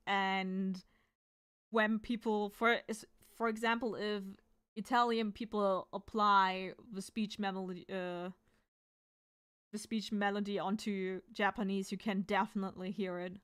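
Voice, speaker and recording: Smooth-voiced woman chatting loosely. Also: mid-range voice, fairly bright tone. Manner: rambling, thinking aloud with many fillers. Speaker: artist streaming while drawing. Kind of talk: casual chat to stream viewers.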